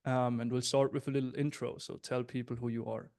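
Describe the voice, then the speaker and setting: A young man from Switzerland hosts a casual interview with his voice at an average pitch. He sounds upbeat, friendly and direct, turning to address the listeners now and then. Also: flat delivery, a little fast, vocal fry, darkish tone. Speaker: host, business coach.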